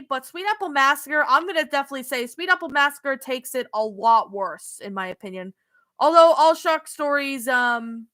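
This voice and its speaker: A young woman with a Canadian accent and a high voice, chatting on a live stream. Her tone is bright and clear. She answers viewers casually, pausing on ums and restarting her sentences. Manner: hesitant, many ums and restarts. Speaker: streamer reading chat.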